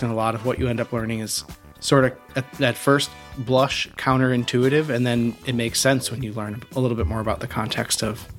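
Casual male podcast co-host, faint music. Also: medium pitch. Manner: conversational, reflective, filler-heavy.

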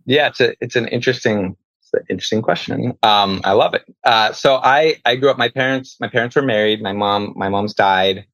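A man's voice: medium pitch, brisk, fairly bright tone, dry recording, rough recording. A man from the northeastern United States answering as an executive interview guest, in careful, corporate phrasing.

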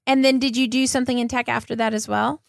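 A woman's voice: on the high side, steady tone, quick pace, vocal fry, no echo, studio quality. A woman hosting a podcast interview, speaking in a casual, enthusiastic and encouraging way. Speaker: podcast host.

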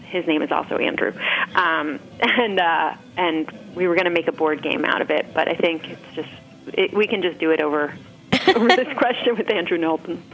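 A woman's voice: fairly low pitch, normal speed, dull audio, faint music behind. A woman chatting casually as a podcast guest, in a rambling, informal way. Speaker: podcast guest, food writer. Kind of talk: casual chat.

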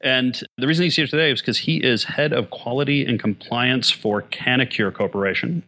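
A man's voice: mid-range voice, brisk, pushed and bright. A man hosting an interview podcast, chatting loosely with many fillers and restarts. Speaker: podcast host. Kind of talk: casual interview chat.

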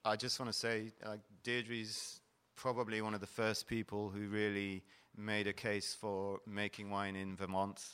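A man's voice: on the low side, completely flat delivery, measured pace, a bit bright, clear, resonant tone. A middle-aged man hosting a wine panel, explaining the wines in a descriptive, explanatory way. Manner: explanatory, descriptive tasting talk. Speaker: panel host. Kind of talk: wine tasting presentation. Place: event hall at a wine fair.

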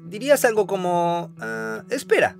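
A man's voice: high-pitched, animated, a little slow, vocal fry, soft background music. A man co-hosting a podcast, asking clarifying questions and restating the ideas. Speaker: podcast co-host. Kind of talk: conversational discussion.

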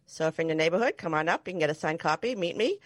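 A woman's voice: sits low, lively intonation, racing, no room sound. A middle-aged woman from New England hosting a podcast over a video call, chatty and laughing often. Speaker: podcast host. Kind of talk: casual podcast chat and ad read.

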